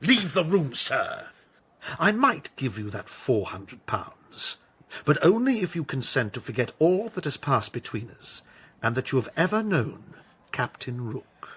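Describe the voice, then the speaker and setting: An actor playing a smooth, scheming gentleman captain and card-sharp in a period radio drama, with a florid, affected manner. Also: medium pitch, very animated, measured pace, exaggerated voice. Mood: haughty, contemptuous. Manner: theatrical, hesitating with affected politeness.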